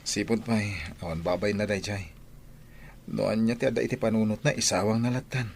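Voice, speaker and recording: A man's voice: fairly low pitch, steady tone, brisk, vocal fry, a little mellow, a little hiss or hum. A man playing an earnest, dutiful young married father in a radio drama. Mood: indignant, firm. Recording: music and crowd sounds behind.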